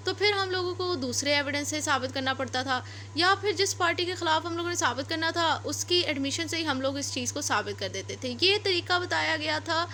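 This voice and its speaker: High, bright-voiced Pakistani woman lecturing. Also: slight background noise. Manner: repeats and rephrases points step by step. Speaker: law lecturer. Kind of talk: explanatory lecture mixing read-out English legal text with Urdu explanation.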